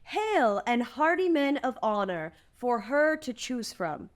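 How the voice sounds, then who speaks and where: A woman playing a calm, shrewd princess in a fantasy screenplay table read, speaking formally and diplomatically. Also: a little high, measured pace. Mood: firm, composed. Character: calm, stoic, shrewd young princess bargaining with captors.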